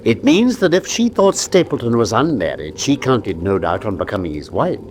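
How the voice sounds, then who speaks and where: Man acting a brisk analytical detective, English accent, assured and commanding, swooping intonation, radio play, clear ambient noise with birdsong. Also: mid-range voice, very animated, lightly acted. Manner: assured, precise, theatrical. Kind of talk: British radio drama acting.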